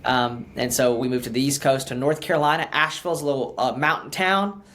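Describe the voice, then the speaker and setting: A man's voice: mid-range voice, fast, a bit bright. A man in his thirties, a pastor raised in the US after early childhood in Ukraine, talking casually as a podcast guest with lots of fillers and restarts. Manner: chatty, many fillers and restarts.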